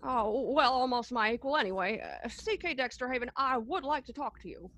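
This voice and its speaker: A woman's voice: fairly high pitch, expressive, a little fast, fairly bright tone. A woman playing a drunk, romantic male writer in a radio play, stammering, hiccuping and repeating words. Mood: drunk, expansive. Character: tipsy, rambling, romantic young male writer.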